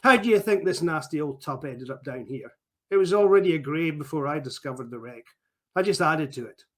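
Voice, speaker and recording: A man's voice: a little high, animated, fairly quick. A man voicing a bitter male killer who sneers and rants in a mocking way. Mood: sneering. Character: bitter male killer ranting in a sunken wreck.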